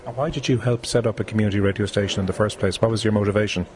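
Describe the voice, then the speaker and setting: Casual brisk male radio host, fairly low pitch, faint noise behind. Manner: brisk, steady questioning. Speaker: radio presenter. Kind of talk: radio interview.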